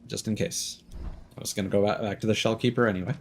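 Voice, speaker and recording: A man's voice: on the low side, steady tone, fast, a little hiss or hum. A young man streaming a game, casually reading menu items aloud to himself.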